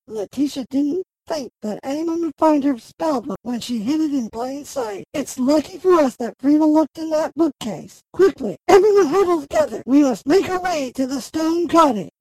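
A high, swooping female storybook voice, acted out by a man, bossy and theatrical, with a creaky edge. It suits a witch or magic creature in a fairy tale audio play, recorded dry and close. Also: expressive, moderate pace, few pauses, a little mellow, no room sound, poor audio. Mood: urgent, bossy.

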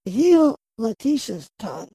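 A man's voice: very high voice, very animated, a little slow, creaky, mellow, husky, no echo, pitched above the performer's own voice, heavily acted, poor audio. A man voicing bossy, theatrical female characters, witches and a magic cat, in a fairy tale audio drama. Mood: squabbling.

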